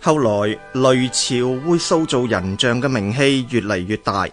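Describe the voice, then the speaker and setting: Mid-pitched male Cantonese storyteller. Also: unhurried, a bit bright, faint music behind. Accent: Hong Kong Cantonese. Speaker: narrator. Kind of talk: scripted storytelling narration for radio drama.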